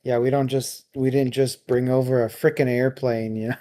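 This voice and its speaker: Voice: medium pitch, flat delivery, normal speed, mellow. A podcast co-host chatting informally and casually about books. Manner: informal, laughs often. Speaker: book discussion co-host. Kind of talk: casual chat, book discussion.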